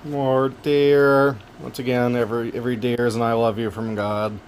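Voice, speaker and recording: A man with a relaxing voice casually narrating wildlife camera footage, gentle and folksy with joking asides. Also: mid-range voice, little pitch movement, moderate pace, slight background noise. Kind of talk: casual narration over video.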